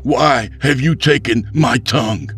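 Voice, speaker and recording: A young man possessed by a furious witch, acted by a male narrator, delivering vengeful, commanding speech. It is loud and pitched far above plain reading, in a dark fantasy audiobook with faint music. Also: a little slow, natural voice. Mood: anguished fury. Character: wrathful witch speaking through a young man's mouth.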